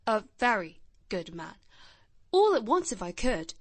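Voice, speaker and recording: A woman's voice: medium pitch, very animated, unhurried, no room sound. A woman voicing a shy, blunt, earnest little boy of nearly ten in a storybook reading.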